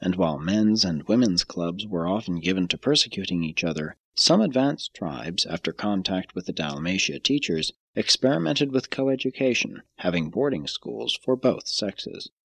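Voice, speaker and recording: A man narrating an audiobook from a script in a measured, formal way, with deliberate emphasis. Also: deep voice, expressive, vocal fry, a bit bright, husky, no room sound.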